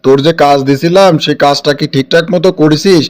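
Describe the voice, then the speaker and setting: A domineering cartoon political boss, voiced by a man, speaks in a somewhat high male voice. He is scheming and impatient, issuing commands in a Kushtia accent from Bangladesh. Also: fairly quick, clean tone, lightly acted. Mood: scheming, commanding. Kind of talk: animated cartoon dialogue.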